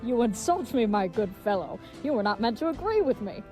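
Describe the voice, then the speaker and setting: A woman's voice: on the high side, big pitch swings, a little fast, over music. Woman playing a gallant, pompous, earnest leathersmith knight. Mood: bold, boastful. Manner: pompous, flowery old-fashioned speech. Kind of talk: comedic audio drama acting.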